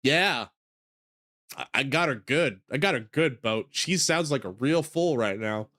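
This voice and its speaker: A man's voice: medium pitch, animated, a little slow, pushed and bright. A man casually commenting while playing a video game, joking and mocking himself. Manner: joking, self-mocking asides. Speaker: game streamer. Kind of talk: casual let's-play commentary.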